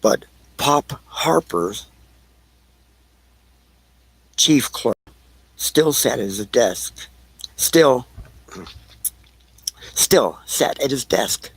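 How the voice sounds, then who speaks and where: A man narrating an audio play in an amateur, somewhat halting reading, with a gravelly, husky voice. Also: medium pitch, expressive, no room sound, poor audio.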